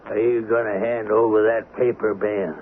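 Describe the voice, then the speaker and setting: An actor playing a greedy, blustering, easily panicked rich villain of the Old West in a 1970s radio drama. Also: male voice, lowish voice, flat delivery, normal speed, close to an everyday voice. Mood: cold, menacing. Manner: blustering, faltering when rattled. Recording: tinny, noisy vintage radio broadcast.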